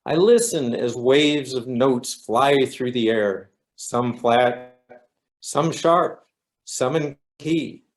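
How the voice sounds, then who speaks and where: A man's voice: mid-range voice, lively intonation, slow. A man reciting a poem in short phrases. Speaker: poet. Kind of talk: slow poetry recitation.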